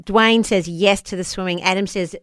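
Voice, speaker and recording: A woman's voice: medium pitch, fairly even intonation, normal speed, a bit bright, dry recording, studio quality. A woman with an Australian accent chatting casually as a breakfast radio co-host. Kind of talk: casual chat.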